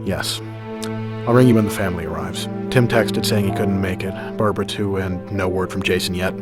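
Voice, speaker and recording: A man's voice: low voice, steady tone, brisk, loud music behind the voice. A man playing a grave, brooding vigilante hero in a radio drama, serious and restrained. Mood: somber.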